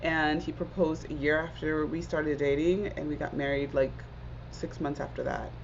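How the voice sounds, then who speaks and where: Earnest low-voiced woman from Zimbabwe. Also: level tone, moderate pace, vocal fry, a little hiss or hum. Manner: earnest, reflective, many "like" fillers. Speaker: interview guest, entrepreneur. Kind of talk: casual conversational interview. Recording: dull lo-fi audio.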